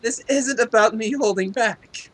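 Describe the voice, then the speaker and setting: A woman's voice: fairly high pitch, unhurried, dry recording. A woman voicing a nervous, easily flustered young male hero in a fan-dubbed fantasy game. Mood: awkward, exasperated. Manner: dramatic, flustered outbursts and hesitations.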